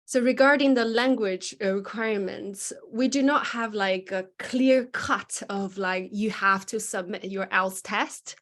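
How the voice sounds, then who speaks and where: A woman's voice: mid-range voice, measured pace, few pauses, fairly bright tone. Woman, admissions staff, explanatory, self-correcting, video call. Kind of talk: explaining requirements in online meeting.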